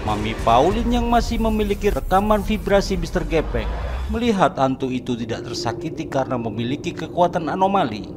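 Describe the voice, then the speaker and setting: A man's voice: fairly high pitch, sing-song, fairly quick. A man narrating a horror cartoon in a scripted, dramatic storytelling manner. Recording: narration over background music.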